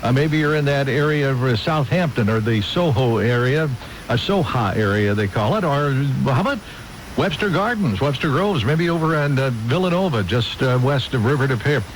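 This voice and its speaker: A man's voice: medium pitch, lively intonation, moderate pace. An older American man hosting an oldies radio show, chatting casually between records and ad-libbing sponsor reads in a folksy, rambling way. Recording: noisy AM radio broadcast.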